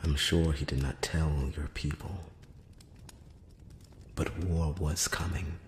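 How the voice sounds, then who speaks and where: A man voicing a solemn, probing elf emissary in a breathy whisper, speaking formally and deliberately in a close-up ASMR fantasy roleplay. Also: extremely low pitch, steady tone, roomy sound, a little hiss or hum, full character voice. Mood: grave, measured. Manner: slow, deliberate, formal, with long pauses. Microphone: close-up microphone.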